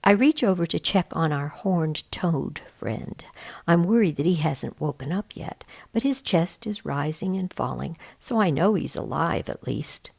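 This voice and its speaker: A woman narrates a children's novel with expressive storytelling. Her voice sits low and creaks at the edges, and the pitch moves around in a lively way. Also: unhurried. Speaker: volunteer storybook reader. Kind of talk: scripted narration, reading a children's novel aloud.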